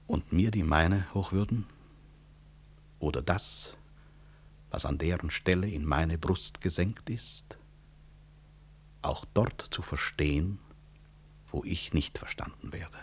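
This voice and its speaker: An actor playing a proud, composed middle-aged physician and professor in a 1960s radio play, formal and measured, husky, with grave irony. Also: male voice, deep voice, heavily acted. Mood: wounded, pointed.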